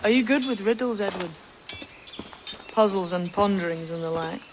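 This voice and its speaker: A woman's voice: mid-range voice, moderate pace, lightly acted. Woman doing sly enigmatic young pirate, game cutscene, salty informal talk, noisy outdoors with bugs. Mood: knowing, coaxing. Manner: knowing, informal seafaring talk. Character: shrewd, enigmatic young pirate mentor, guarded. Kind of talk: game cutscene drama acting.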